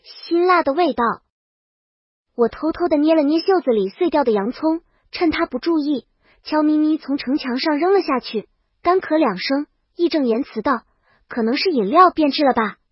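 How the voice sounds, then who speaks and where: A woman's voice: sits high, expressive, normal speed, no echo, lo-fi sound. A woman reading a scripted first-person comic story as its brash, exasperated heroine. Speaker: audio story narrator.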